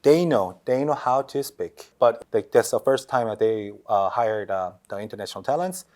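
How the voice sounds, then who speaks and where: A middle-aged Korean man, a company executive, speaking halting English with a Korean accent and many fillers. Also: mid-range voice, expressive, darkish tone. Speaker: company COO guest.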